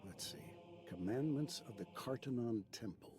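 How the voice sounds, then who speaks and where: A man acting a seasoned older raid hunter in an English anime game, speaking in a grave, measured way. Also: mid-range voice, lively intonation, background noise, close to an everyday voice. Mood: wary, solemn.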